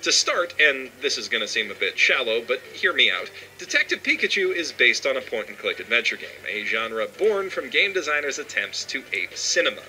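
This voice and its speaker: A man's voice: medium pitch, sing-song, brisk, hardly any pauses, sharp tone. A man delivering a scripted video essay with an emphatic, opinionated manner.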